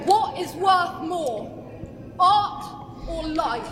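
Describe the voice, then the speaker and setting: A woman's voice: very high-pitched, lively intonation, drawn-out words, crowd noise. A woman giving an emphatic, declamatory protest speech in a raised voice. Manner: emphatic, declamatory rhetorical questions. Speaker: climate protester.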